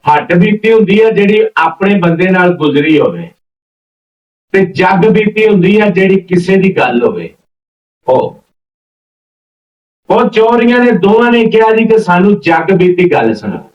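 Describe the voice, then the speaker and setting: A man's voice: sits high, big pitch swings, normal speed, long pauses. A man telling a folk story informally and unhurriedly, repeating phrases as he links one event to the next. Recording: roomy, distant, slightly dull mic.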